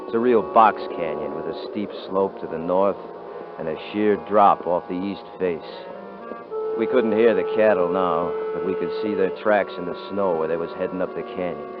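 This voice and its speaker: An actor playing a hard, cocky young cowboy rancher, blunt and mocking, on an old radio broadcast. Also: male voice, sits low, moderate pace, lightly acted. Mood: wry narrating. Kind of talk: 1950s radio drama acting. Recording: tinny 1950s radio broadcast with music.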